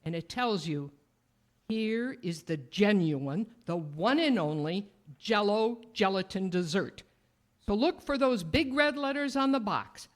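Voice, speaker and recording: A woman's voice: medium pitch, lively intonation, unhurried. A woman reading a scripted 1930s-style radio advertisement in a folksy, emphatic manner. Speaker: commercial announcer.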